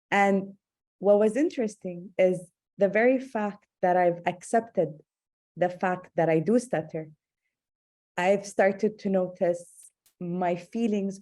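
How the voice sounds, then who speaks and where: Woman who stutters, slow, mellow tone, guest speaker, video call. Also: lowish voice. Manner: stutters, soft, many pauses and fillers. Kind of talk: webinar talk.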